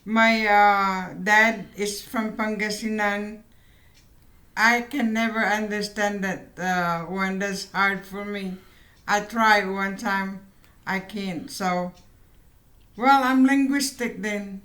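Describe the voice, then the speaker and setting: Slow-spoken woman, echoey room. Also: mid-range voice, fairly even intonation, bright, forceful tone. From Philippines. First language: Ilocano. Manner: hesitant, searching for words, giggly. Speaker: vlog co-host. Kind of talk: casual chat.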